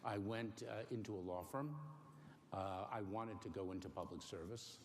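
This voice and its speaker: Deep-voiced man, halting careful answers. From Cuba. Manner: careful, halting, many ums and pauses. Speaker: interview guest. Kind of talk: measured interview answers. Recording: faint noise in a long-reverb room.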